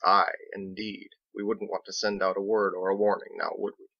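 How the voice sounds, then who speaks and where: A man voicing a measured, thoughtful seafaring captain in an audiobook, with formal, old-fashioned phrasing. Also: low-pitched, level tone, creaky, lo-fi sound. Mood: grave, indignant. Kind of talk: audiobook character dialogue.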